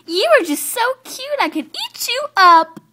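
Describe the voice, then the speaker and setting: Woman as a squeaky, overexcited young-sounding female horse. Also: expressive, normal speed. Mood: gushing, delighted. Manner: gushing, exclaiming, singsong. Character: overexcited, silly early-bird female horse, bubbly and apologetic. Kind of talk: animated character acting in an amateur animal story.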